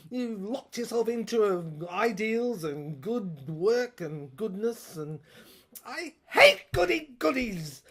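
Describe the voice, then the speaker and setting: A woman's voice: mid-range voice, big pitch swings, slow, breathy edge, exaggerated voice. A woman playing a ranting, scheming, power-mad brother and corrupt prosecutor in an audio drama, with a theatrical, manic, rambling delivery. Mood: disgusted, ranting. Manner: theatrical, manic rambling, swinging from shouting to muttering.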